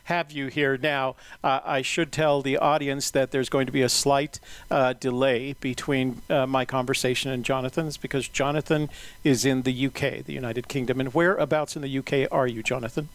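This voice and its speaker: A man hosting an interview in a relaxed, unhurried way, often pausing with "uh". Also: medium pitch. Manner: relaxed, many "uh" fillers, measured pace. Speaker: interviewer and podcast host. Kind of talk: casual interview.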